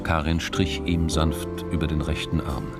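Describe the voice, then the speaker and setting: Deep voiced narrator of a crime radio play, measured, music behind. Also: male voice, steady tone. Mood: measured, intense. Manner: measured, descriptive. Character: male narrator of a crime story. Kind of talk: literary scripted narration.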